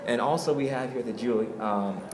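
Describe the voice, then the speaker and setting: A man's voice: medium pitch, fairly quick, crowd noise. A young man hosting a casual on-camera interview at a fashion event. He is upbeat and keeps prompting his guest with questions. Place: indoors in a hotel at a fashion show. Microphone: camera mic at arm's length. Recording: echoey room with background noise.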